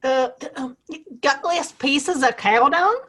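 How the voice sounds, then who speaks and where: A woman's voice: high voice, slow pace, a bit bright. A woman playing a feisty, outspoken small-town woman in a western audio play, with a folksy, plain-spoken manner. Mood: frank.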